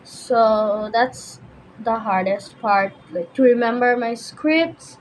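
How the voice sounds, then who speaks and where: This is a teenage young woman. Her voice sits on the high side. She talks in a casual, chatty vlog style, and there is audible noise behind her. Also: slow pace, low-quality recording. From Bangladesh, raised mostly in Dhaka. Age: teenager, fifteen. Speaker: YouTuber answering viewer questions.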